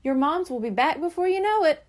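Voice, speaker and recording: A woman's voice: sits high, lively intonation, brisk, voice pushed up. A woman reading as a knowing mother cat. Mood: gentle.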